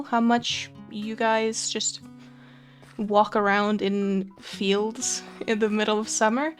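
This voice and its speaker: A young woman from Finland, chatting casually with fillers and soft laughs while touring her game build. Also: medium pitch. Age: young adult. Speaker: Minecraft let's play creator. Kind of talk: casual gameplay commentary.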